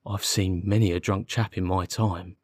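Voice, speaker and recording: Man putting on a defensive, slow-witted working-class constable. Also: deep voice, steady tone, no echo, studio quality. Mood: amused. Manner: colloquial, ungrammatical rustic speech. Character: working-class male police constable, defensive, dim, aggrieved. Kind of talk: audiobook character dialogue.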